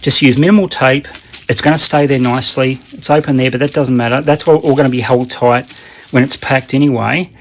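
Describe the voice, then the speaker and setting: A man's voice: medium pitch, fairly even intonation, fairly quick, a little hiss or hum. A man with an Australian accent giving a casual, unscripted how-to talk in a rambling, plain-spoken, conversational way. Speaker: online seller giving a how-to demonstration.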